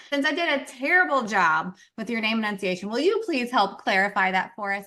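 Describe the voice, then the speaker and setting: A woman's voice: medium pitch, pushed and bright. A woman hosting a podcast, giving an enthusiastic, effusive introduction of her guest. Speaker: podcast host. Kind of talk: interview introduction.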